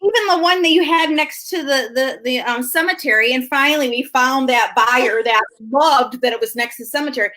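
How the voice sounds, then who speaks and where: A woman's voice: high voice, a little fast, few pauses, sharp tone. A woman co-host chatting casually and emphatically, repeating words to make her point. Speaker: co-host, realtor. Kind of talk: casual conversational commentary.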